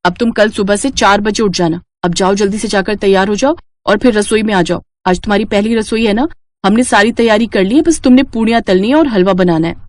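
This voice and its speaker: A woman's voice: medium pitch, a little fast, no room sound, pitched below the performer's own voice, close to an everyday voice. A woman voicing a dutiful, earnest, fussing young sister-in-law in a Hindi cartoon story. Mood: mild scolding. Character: dutiful, protective elder sister-in-law, young Indian housewife.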